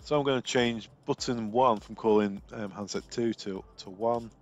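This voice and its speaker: A man's voice: on the low side, moderate pace, muffled recording. A man working as a technical trainer, narrating a screen demo in an informal, step-by-step way.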